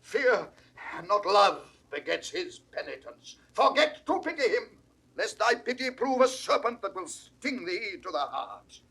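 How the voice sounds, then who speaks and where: A man with a British accent playing a stern, unforgiving old duke in a classical Shakespearean audio drama, declaiming vehemently in a husky voice. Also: high voice, big pitch swings, measured pace, sharp tone, full character voice. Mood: grim accusation. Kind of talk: classical Shakespearean stage acting. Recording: vintage 1960s studio recording.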